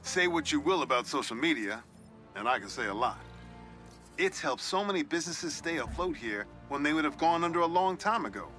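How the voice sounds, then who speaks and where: A man's voice: fairly high pitch, lively intonation, brisk, bright, forceful tone, soft background music. An actor playing a genial middle-aged New York newspaperman with an American accent, telling nostalgic, reflective stories in a video game. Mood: chatty. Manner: storytelling, reflective. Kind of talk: game voice acting, conversational monologue.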